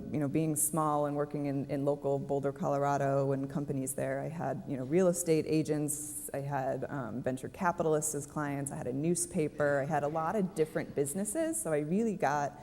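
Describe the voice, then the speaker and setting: Chatty woman, low voice, hall reverb. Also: a little mellow. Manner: chatty, self-deprecating, laughs often. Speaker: panelist. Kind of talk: conference panel talk. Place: conference stage.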